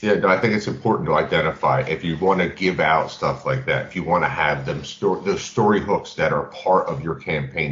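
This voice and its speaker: A man with a fairly low voice talks casually as a tabletop gaming podcast co-host, tagging his points with "right?". The recording is roomy and echoing, with a slightly dull, lo-fi sound. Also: fairly bright tone. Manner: peppers talk with "right?" check-ins. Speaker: podcast co-host, game master.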